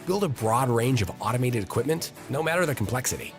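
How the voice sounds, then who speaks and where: A man's voice: mid-range voice, animated, fast. A man reading a scripted promotional voice-over for an advert. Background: music.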